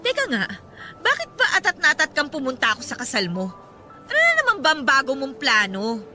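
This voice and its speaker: A woman's voice: sits high, huge pitch swings, fairly quick, sharp tone, faint music behind, exaggerated voice. A woman playing an indignant, sarcastic single mother in a Filipino radio drama, with a confrontational manner. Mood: bitter, sarcastic.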